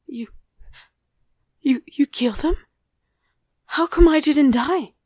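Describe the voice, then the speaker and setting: Woman as a prim, high-voiced executive. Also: slow, a little mellow, no echo, studio quality. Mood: shocked. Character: prim company executive giving a conference presentation. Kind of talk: audio drama acting.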